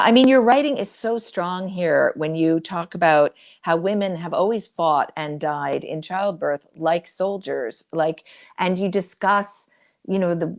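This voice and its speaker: Female interviewer, lower register with marked vocal fry, thoughtful delivery. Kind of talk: literary interview questions.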